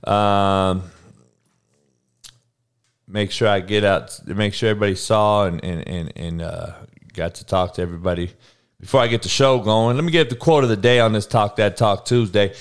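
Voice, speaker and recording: A man's voice: fairly low pitch, normal speed, clean tone. Profane, rambling man in his forties. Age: 40s. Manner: profane, loose and rambling, talks to the chat. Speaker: podcast host. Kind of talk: casual livestream chat with viewers.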